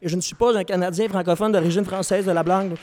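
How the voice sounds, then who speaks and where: A young man with a Québécois French accent speaks earnestly, stumbling and restarting as he works through his thoughts. His voice sits high for a man and carries a long hall reverb from a live venue. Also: fairly even intonation, darkish tone, a little hiss or hum. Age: young adult. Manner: earnest, halting, many restarts. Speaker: singer-songwriter guest. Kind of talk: panel discussion before a live audience.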